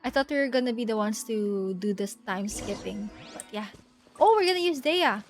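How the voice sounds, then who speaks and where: A woman's voice: a little high, animated, brisk, soft background music. A woman giving casual live commentary as she plays a video game, thinking aloud in short murmured reactions. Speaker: streamer playing a video game.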